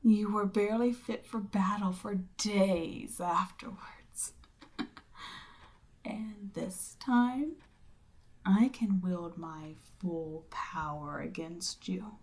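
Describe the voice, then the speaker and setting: Casting: woman as domineering Demon Queen for ASMR roleplay, mid-pitched, unhurried, breathy half-whisper, smugly seductive. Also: darkish tone, lightly acted. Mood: threatening, commanding. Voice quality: breathy, often dropping into whisper. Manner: slow, smug, coaxing, commanding.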